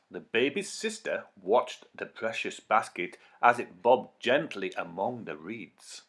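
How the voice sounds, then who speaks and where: Highly animated male Bible storyteller. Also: husky. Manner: dramatic, expressive delivery. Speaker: story narrator. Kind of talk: scripted children's Bible storytelling.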